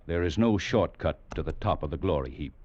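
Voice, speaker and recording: A stern American cavalry captain, low-voiced and quick, snapping out words by the book. It is an old radio drama recording, muffled with faint noise. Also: male voice, a little mellow. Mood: brisk, commanding. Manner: clipped, commanding. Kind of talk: mid-century American radio acting.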